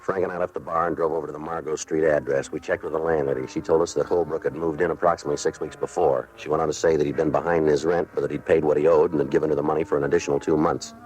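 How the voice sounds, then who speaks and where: An old radio crime drama with some music behind it. a terse American police detective, a man with a very deep voice. He talks fast and flat, businesslike and matter-of-fact. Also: poor audio. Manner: clipped, deadpan, matter-of-fact. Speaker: lead actor and narrator. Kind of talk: 1950s radio crime drama acting and narration. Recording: vintage radio recording with music.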